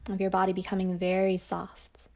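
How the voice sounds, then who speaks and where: A woman teacher guiding a yoga nidra meditation in a slow, soft voice that often drops toward a whisper. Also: medium pitch, completely flat delivery. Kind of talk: guided meditation.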